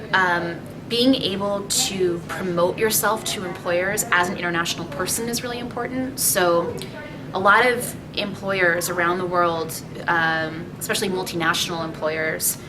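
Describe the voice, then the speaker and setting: Woman career advisor, heard from afar. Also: on the low side. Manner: clear, list-like advice with fillers. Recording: distant mic with noise behind.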